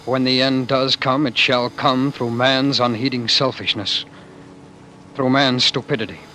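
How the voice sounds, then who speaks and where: An actor playing a tender, desperate fugitive husband in old-time radio drama style, halting and stammering over his words. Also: medium pitch, steady tone, bright, forceful tone. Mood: somber, bitter. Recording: noisy vintage radio drama broadcast.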